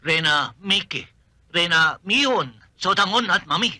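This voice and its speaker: An actor playing a smitten suitor who owns a noodle shop, speaking in broken, pidgin grammar. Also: male voice, high-pitched, animated, a little slow, bright, forceful tone, full character voice. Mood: earnest.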